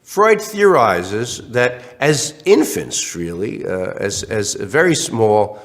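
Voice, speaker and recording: A man's voice: fairly low pitch, slow pace. A man lecturing online, slightly congested from a cold, with many restarts and fillers. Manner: animated, acts out questions in other voices.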